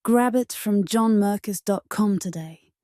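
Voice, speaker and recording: A woman delivers a scripted ad voice-over at a slow, unhurried pace. Her tone is mellow and soft, and the recording is dry and close. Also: medium pitch, dry recording. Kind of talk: scripted advertisement.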